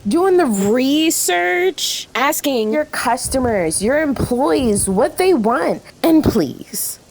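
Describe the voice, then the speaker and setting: An outspoken, excitable diner waitress in a radio drama, acted by a woman, with a high voice that swings widely. She is blunt and emphatic, and there is faint ambient noise around her. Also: slow, vocal fry, full character voice, poor audio. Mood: exasperated, defiant. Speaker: voice actor. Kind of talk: radio play acting.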